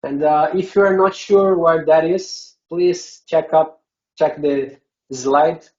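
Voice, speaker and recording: A man's voice: fairly high pitch, steady tone, slow, a little mellow, highs cut off. A man from Brazil with a Brazilian Portuguese accent, a non-native English speaker, giving a conference presentation with many hesitant filler sounds.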